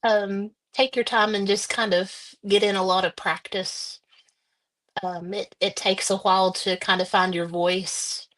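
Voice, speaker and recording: Woman writer being interviewed, lots of ums, pretty flat tone. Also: medium pitch, unhurried. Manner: hesitant, many ums. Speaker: guest author. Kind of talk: casual interview answers.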